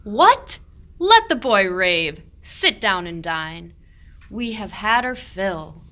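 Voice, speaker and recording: A woman playing a grumbling, henpecked male shepherd in a radio play, declaiming verse deliberately. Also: medium pitch, big pitch swings, sharp tone, heavily acted. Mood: scolding. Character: grumbling henpecked old-world male shepherd, weary of cold and marriage.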